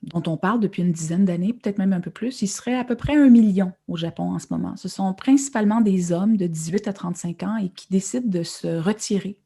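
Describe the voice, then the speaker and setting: A woman's voice: mid-range voice, fairly quick, creaky, few pauses, mellow, breathy edge. A woman novelist, speaking thoughtfully in an interview, often restarting her sentences. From Quebec. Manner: thoughtful, hesitant, many repeated starts. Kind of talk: conversational literary interview.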